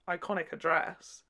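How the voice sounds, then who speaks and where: A woman hosting a video, giving casual, gushing and expressive commentary in a campy way. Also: on the low side, vocal fry. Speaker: YouTube host reviewing a children's TV show.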